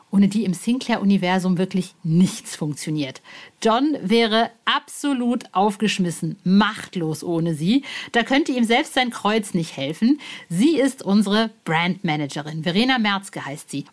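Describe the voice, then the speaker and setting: A woman presenting a podcast in a chatty, informal style, playful, effusive and colloquial. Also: mid-range voice. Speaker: podcast host.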